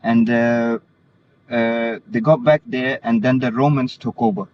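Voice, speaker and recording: A man's voice: medium pitch, steady tone, unhurried, soft background music. A man born in Denmark, earnestly explaining his beliefs in a casual conversation, often restarting his sentences. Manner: earnest, hesitant with many restarts. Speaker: interviewee. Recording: slightly dull recording with faint noise.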